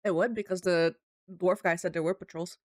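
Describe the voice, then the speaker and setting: A woman's voice: fairly low pitch, steady tone, moderate pace, darkish tone, no echo, clean studio sound. A woman chatting casually as a player in a tabletop roleplaying game, moving between speaking for her character and table talk.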